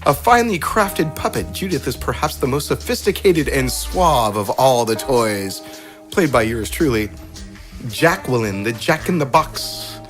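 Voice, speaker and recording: A man with lively, swinging intonation hosts a game in a casual way. He reads out character write-ups and chuckles through his announcements. Music plays behind him. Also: medium pitch, unhurried. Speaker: game host. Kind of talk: casual game introduction with character read-outs.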